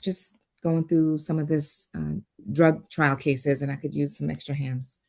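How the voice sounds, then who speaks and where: Woman acting strained lady doctor, kinda low voice, composed but confrontational. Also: fairly even intonation, a little slow, vocal fry, warm tone, heavily acted. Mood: weary. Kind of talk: table read of a screenplay.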